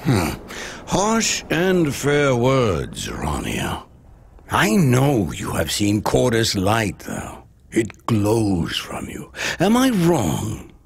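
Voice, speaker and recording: An actor playing a devout, weary leader of starving outcasts in a video game, speaking in a solemn, preacherly, measured way. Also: male voice, on the low side, wildly swooping pitch, vocal fry, slightly rough, exaggerated voice. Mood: grave, gentle. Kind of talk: dramatic video game dialogue.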